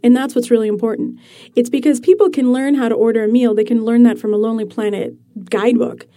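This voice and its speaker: A woman author being interviewed, explaining her ideas in an animated way and laughing easily. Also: on the high side, fast. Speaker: author guest. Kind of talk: radio interview.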